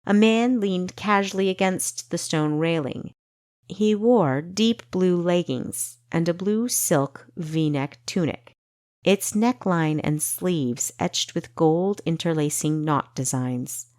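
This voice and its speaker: Female audiobook narrator for fantasy: slow, measured, expressive, on the deeper side. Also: no echo, clean studio sound.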